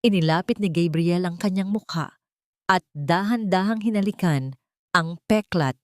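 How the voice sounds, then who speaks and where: A Filipino woman narrates an audio drama in a fairly low voice. Her delivery is measured and solemn, with a sentimental storyteller's phrasing. The recording is dry and close, with little room sound. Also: a little mellow, no room sound.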